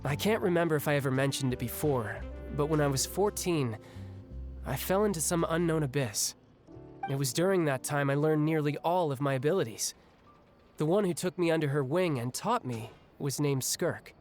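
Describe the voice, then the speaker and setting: An actor playing a young, restless, battle-hungry warrior in a video game, speaking in a breezy, reflective way. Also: male voice, on the high side, moderate pace, a little mellow, lightly acted. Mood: pensive. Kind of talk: video game cutscene acting. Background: game music.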